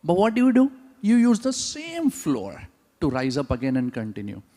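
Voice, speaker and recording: A man's voice: a little high, normal speed, mellow. A man giving a devotional class at a temple, often checking in with his listeners with a questioning "huh?". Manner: engaging, asks the audience "huh?" often. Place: temple hall. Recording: long hall reverb.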